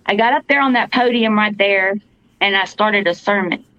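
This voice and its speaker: Halting, earnest woman, dry dull audio. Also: pushed and bright, no echo. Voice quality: fairly deep voice for a woman. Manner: earnest, halting with fillers and restarts. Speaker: podcast co-host, paranormal investigator. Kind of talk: casual storytelling.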